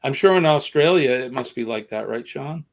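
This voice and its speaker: A man with a Boston accent hosting a casual live stream, rambling and halting with many ums and repeated words. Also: mid-range voice, animated. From northeastern United States.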